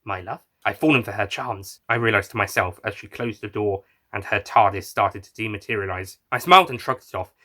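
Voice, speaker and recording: Bright-voiced man narrating a story. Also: fairly low pitch, fairly quick, hardly any pauses. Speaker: writer and narrator reading own fan fiction. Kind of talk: first-person scripted story narration.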